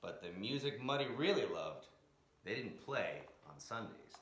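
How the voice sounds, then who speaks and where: A man's voice: fairly low pitch, big pitch swings, a little slow, a bit bright. A man reading a picture book aloud in a dramatic, emphatic way, drawing out key words. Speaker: storyteller, blues educator. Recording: echoey room.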